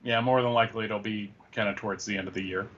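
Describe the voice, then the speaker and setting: A man's voice: fairly low pitch, fairly even intonation, fast, fairly bright tone. A man giving casual opinions as a talk show co-host. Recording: slightly dull lo-fi audio.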